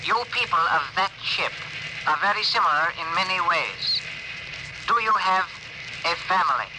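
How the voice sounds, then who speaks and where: A man playing an earnest, wary alien crewman in a 1950s radio drama, speaking in slow, stilted, formal phrases. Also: sits high, expressive. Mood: earnest, regretful. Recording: noisy old 1950s radio recording.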